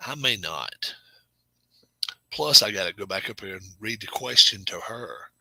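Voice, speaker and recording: A middle-aged man hosting a casual live stream, chatting with viewers in a rambling, self-correcting way and sometimes dropping to a low murmur. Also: expressive, unhurried, gravelly, sharp tone, no echo, poor audio. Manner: drops to a low murmur at times. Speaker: channel host.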